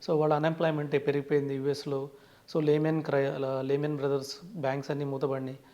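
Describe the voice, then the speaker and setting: A man's voice: mid-range voice, flat delivery, quick pace, warm tone, lo-fi sound. A man with a Telugu accent speaking as a finance expert in an interview, explaining things with English terms mixed in and often starting sentences with "so". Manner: frequently opens sentences with "so".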